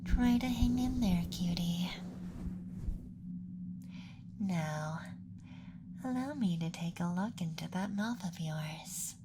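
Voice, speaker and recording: A man voicing a playful young alien abductor in a whispered ASMR roleplay, speaking softly in a teasing, clinical manner. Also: high-pitched, lively intonation, slow, noisy background, exaggerated voice.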